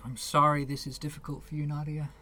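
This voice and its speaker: A man's voice: on the high side, little pitch movement, fast talker, pushed and bright, dry recording. An actor playing a patient, persistent young man in a radio play, earnest and placating. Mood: sympathetic.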